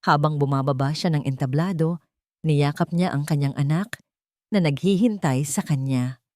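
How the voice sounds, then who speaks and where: A woman's voice: deep voice, normal speed, a little mellow, dry recording, studio quality. A woman narrating a scripted audio drama in a solemn, sentimental storytelling manner.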